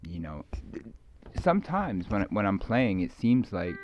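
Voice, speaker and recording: A middle-aged man giving a rambling, musing ASMR talk, halting and repeating himself as his thoughts meander and trail off. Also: on the low side, big pitch swings, a little mellow, a little hiss or hum. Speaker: ASMR video creator. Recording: muffled lo-fi close microphone, dry.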